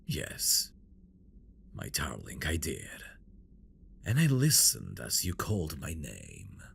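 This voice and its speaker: A possessive fantasy villain, acted by a man, speaks slowly and intimately to his bride in an ASMR audio drama. His deep murmur is highly expressive, teasing and seductive, often sinking to a breathy whisper. Faint ambient noise sits behind him. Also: exaggerated voice. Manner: slow, teasing, seductive delivery.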